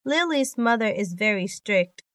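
A woman reading scripted English lesson sentences for a listening test, in a measured, carefully enunciated manner. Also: medium pitch, expressive, fairly bright tone, dry recording, clean studio sound. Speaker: exam listening test reader.

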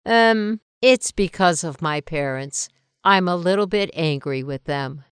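A woman reads the part of a glum schoolgirl who feels her weekends are too busy, in a smooth, clean voice. Each word comes out carefully enunciated, as on a textbook recording. The sound is close and completely dry. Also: lowish voice, unhurried, dry recording, lightly acted. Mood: unhappy. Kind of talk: scripted listening-test dialogue. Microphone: close studio mic.

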